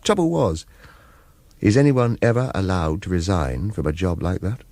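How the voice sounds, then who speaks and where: A bitter British ex-agent in a radio thriller. He swings widely in pitch, blunt and sardonic, always questioning what he is told. Faint noise sits behind the voice. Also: expressive, normal speed, a little mellow. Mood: reflective, weary.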